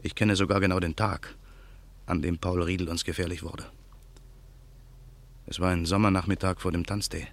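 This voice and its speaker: An actor playing an embittered man who waits through the night for revenge, speaking his inner monologue slowly and in a hushed voice in a radio play. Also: low voice, steady tone. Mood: brooding. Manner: slow, brooding, hushed. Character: embittered man awaiting revenge, male adult. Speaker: narrator and main character.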